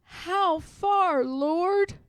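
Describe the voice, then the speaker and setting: Woman playing earnest, high-pitched, devout heroine. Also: very slow, no room sound, exaggerated voice. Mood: pleading, weary. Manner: earnest, pleading prayer. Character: Harriet Tubman, humble, devout, determined enslaved woman. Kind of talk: storybook read-aloud.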